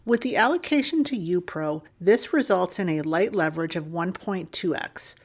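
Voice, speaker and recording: A woman co-hosting a podcast, reading a listener's email aloud in a steady, clear manner. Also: on the low side, normal speed.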